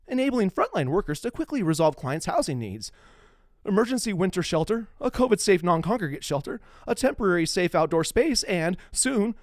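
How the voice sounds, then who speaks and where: A man's voice: on the high side, darkish tone, dry recording, studio quality. A middle-aged man hosting a podcast, shifting between dramatic reading and casual talk. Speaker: podcast host.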